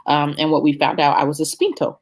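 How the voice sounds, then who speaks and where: A woman in her thirties with a notably low speaking voice answers interview questions. She talks reflectively, pausing on fillers and restarting her sentences as she goes. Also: flat delivery. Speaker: opera singer, interview guest.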